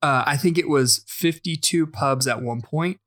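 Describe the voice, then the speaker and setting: A man's voice: on the high side, unhurried, a bit bright. A man hosting a podcast in a casual, chatty way, reading aloud with occasional stumbles and self-corrections. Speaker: podcast host. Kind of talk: casual podcast chat, reading out a listener message.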